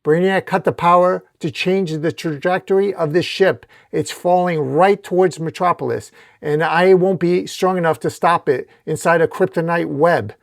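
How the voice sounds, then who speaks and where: A man's voice: on the high side, unhurried, vocal fry, darkish tone, no room sound. Man playing a noble male superhero, earnest, urgent, commanding, comic-book storytelling, dry sound. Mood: urgent, shouting.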